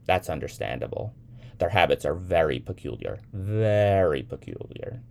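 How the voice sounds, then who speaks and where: A man reads as a playful, odd young man in a literary story. He speaks with mock gravity and animated pitch, in a low, creaky voice. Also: moderate pace. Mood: mock-serious. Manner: whimsical, mock-serious storytelling. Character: playful, eccentric young man teasing a child, edgy with adults.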